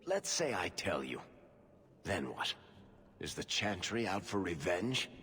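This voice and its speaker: A wisecracking storyteller in a game cutscene, his voice fairly low but lively and sly. A trailing reverb and faint noise hang around it. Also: a little slow, husky, exaggerated voice. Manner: sly, joking. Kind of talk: video game cutscene acting.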